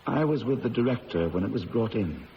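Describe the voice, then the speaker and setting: A man's voice: on the low side, expressive, fairly quick. An actor playing a worn-out, desperate diamond firm manager in a radio drama, confiding his story. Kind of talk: 1970s radio drama acting. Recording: muffled old radio broadcast with faint hiss.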